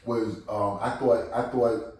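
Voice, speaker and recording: A man talking casually about battle rap in an interview, animated and repeating himself as he quotes his own past words. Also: fairly low pitch, slow pace. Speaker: battle rap league figure being interviewed. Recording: echoey room, voice picked up from a distance.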